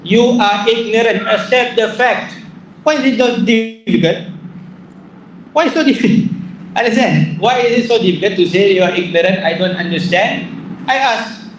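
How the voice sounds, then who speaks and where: A man's voice: high voice, normal speed. A man with a Singaporean accent answering questions as a religious teacher, emphatic and repetitive, often putting rhetorical questions to his listeners. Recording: roomy echo, distant mic, faint noise.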